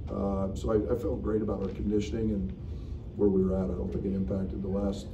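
A middle-aged man, a basketball coach, answering questions at a postgame press conference in a frank, halting way with many fillers. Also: lowish voice, monotone, vocal fry, far from the mic, muffled recording. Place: press room at a table. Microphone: table mic, recorded from the room. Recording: echoey room, picked up from afar, noisy.

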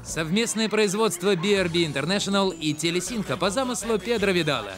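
A man's voice: high-pitched, animated, a little fast, fairly bright tone, faint music behind. A man reading scripted credits as the announcer of a cartoon.

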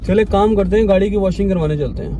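A man's voice: high voice, very fast. A man in his thirties, joking and teasing in casual chat as a vlogger, recorded inside a car on a dashboard camera. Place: inside a parked or moving car. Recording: dull sound, loud car and street noise.

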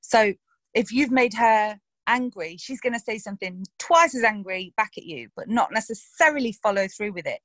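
A young woman chats about her acting role in a lively, expressive way, slipping into little voices when she quotes someone. Her voice sits in a middle range. The sound is dry and close, with no room in it. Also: medium pitch, moderate pace, dry recording. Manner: animated, acts out quoted lines, laughs. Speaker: actress interviewed about her characters. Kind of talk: casual interview chat.

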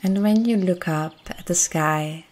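Slow, low-pitched woman guiding meditation. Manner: gentle, soothing, unhurried with long pauses. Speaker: meditation guide. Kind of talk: guided meditation narration.

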